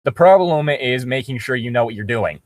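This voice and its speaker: A man's voice: mid-range voice, animated, fairly quick, a little hiss or hum. A man co-hosting a reading show, giving casual critical commentary on a story among friends. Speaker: co-host of a fan fiction reading show.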